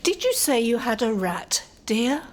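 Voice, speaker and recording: A woman's voice: on the high side, sing-song, a little slow. A woman playing a kindly, genteel spinster aunt in an audio drama, mild and soothing. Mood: gentle, kindly.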